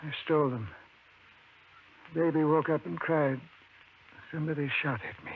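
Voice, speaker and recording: Man doing grave weak professor, doom warnings, 50s radio drama, highish voice, tinny old recording. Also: steady tone, a little hiss or hum, natural voice. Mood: weak, pained. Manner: solemn, formal, deliberate. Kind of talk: 1950s radio drama acting.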